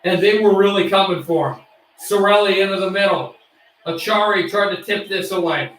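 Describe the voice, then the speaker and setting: Excitable high-voiced man calling hockey. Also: normal speed, heavy reverb, far from the mic, a little hiss or hum, rough recording. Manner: excitable, bursts into shouts on shots. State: losing his voice. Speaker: play-by-play streamer. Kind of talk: amateur play-by-play commentary.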